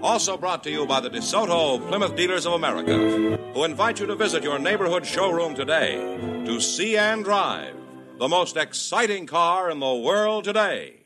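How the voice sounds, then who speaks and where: A man giving a 1950s radio show's closing announcement, brisk and polished. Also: a little high, very animated, over loud music.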